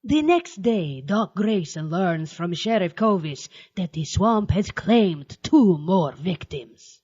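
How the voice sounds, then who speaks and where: Pompous mad-scientist horror host played by a man, slow theatrical delivery, dull sound over music. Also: high-pitched, animated, darkish tone, husky, no room sound, heavily acted. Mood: mock-dramatic. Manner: theatrical, sardonic. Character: pompous campy mad-scientist doctor hosting horror movies. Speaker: horror show host and narrator. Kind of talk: campy mock-horror narration.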